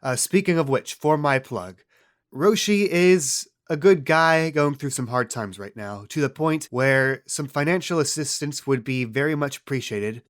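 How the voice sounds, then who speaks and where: A man with a fairly high-pitched voice acts as game master. He narrates and hosts in a casual, conversational style suited to a tabletop game podcast. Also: a little slow.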